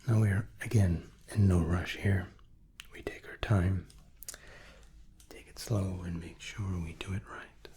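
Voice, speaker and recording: A man doing an ASMR medical roleplay in a soft, breathy whisper, slow, methodical and reassuring as he plays an examiner. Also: low voice, steady tone, a little hiss or hum.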